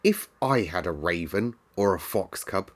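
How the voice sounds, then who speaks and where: A man's voice: low voice, animated, unhurried. Man reading as a contrary little girl. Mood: wistful.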